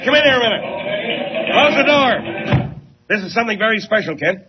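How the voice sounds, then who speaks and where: An actor playing a brisk, authoritative, fatherly newspaper editor in a 1940s style radio drama. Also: very high-pitched, lively intonation, loud music behind the voice. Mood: brisk, businesslike. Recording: muffled, noisy old radio recording.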